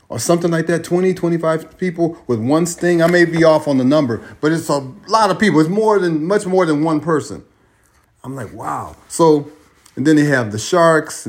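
A man's voice: mid-range voice, darkish tone. An American man talking in a loose, rambling way, repeating phrases and correcting himself as he goes. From United States. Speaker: traveler sending a voice message. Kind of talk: casual spoken monologue. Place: Australia.

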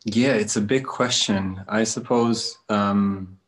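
A man from Bosnia, a spiritual coach, answering interview questions in a hesitant, musing way with many pauses. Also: fairly low pitch, fairly even intonation.

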